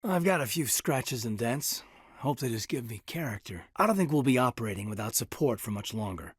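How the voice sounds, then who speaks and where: A man acting in a video game as an earnest, loyal military officer, reserved and thoughtful, with a husky voice and a sincere, measured delivery. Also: medium pitch, animated, no room sound, studio quality. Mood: wry, casual.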